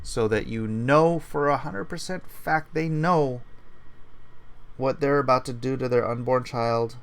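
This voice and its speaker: A man's voice: mid-range voice, unhurried, clean tone. A man hosting a commentary show, earnestly arguing his view step by step, repeating key points and reading out viewer comments.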